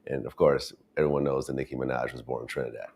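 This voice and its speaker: A man's voice: rumbling low, fairly even intonation, fast, darkish tone. A man interviewer asking direct, probing questions.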